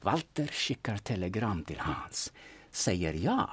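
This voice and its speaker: A man playing a shrewd, secretive older veteran turned sleuth in an audio drama, husky and deliberate in a theatrical way. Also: lowish voice, very animated, a bit bright, full character voice. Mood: intense, explaining. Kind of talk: serial audio drama acting.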